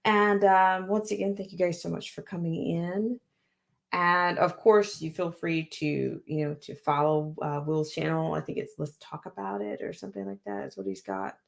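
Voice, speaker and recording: A woman's voice: lowish voice, fairly even intonation, moderate pace, vocal fry. A woman hosting a live stream, thanking viewers in a loose, rambling way with many fillers. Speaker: livestream host. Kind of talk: casual live stream sign-off.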